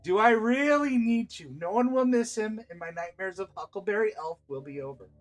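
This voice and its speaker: A man's voice: high voice, expressive, a little fast, soft background music, pitched above the performer's own voice. A man voicing a brusque, exasperated female guild leader in a story, curt and commanding. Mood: weary.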